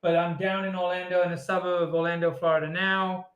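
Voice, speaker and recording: A man from Wales banters with enthusiasm on the panel. His voice is high and bright, heard in an echoey room with faint noise behind. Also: little pitch movement, fast, dull audio. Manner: jokey, enthusiastic.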